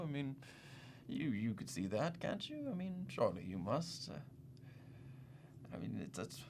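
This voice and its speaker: An actor playing an awkward, apologetic yet condescending museum official in a comic monologue, with a hesitant, rambling manner. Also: male voice, medium pitch, fairly bright tone. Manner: hesitant, stammering, rambling, self-correcting.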